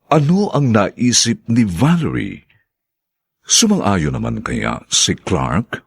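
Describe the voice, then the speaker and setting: A man's voice: medium pitch, wildly swooping pitch, slow, creaky, slightly rough, dry recording. A man with a Filipino accent narrating a radio drama in a solemn, declamatory, theatrical announcer's style.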